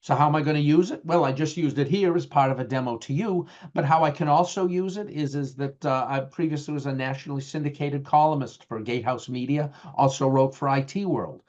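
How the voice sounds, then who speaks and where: A middle-aged man with a Boston accent talks through a webinar, chatty and loose, with plenty of fillers and restarts. His voice sits a little high for a man. The audio is dull and lo-fi, like a webinar recording. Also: a little fast, few pauses, a little mellow. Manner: conversational, many fillers and restarts. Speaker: webinar presenter. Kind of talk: webinar talk with slides.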